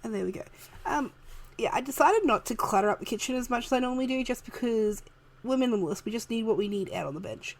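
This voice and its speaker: A woman, chatting softly and laughing often while narrating a game build, her voice a little worn. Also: on the high side, animated, fast talker. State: losing her voice. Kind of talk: casual voice-over chat. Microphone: home desk microphone, moved closer partway.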